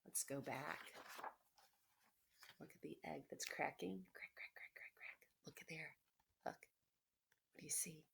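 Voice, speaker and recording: A woman teacher reading aloud to children, expressively and encouragingly, pausing to explain and ask questions. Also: low voice, vocal fry, fairly bright tone, whispered. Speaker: elementary school teacher. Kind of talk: children's read-aloud with questions and asides to pupils. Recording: noticeable background noise, clicks.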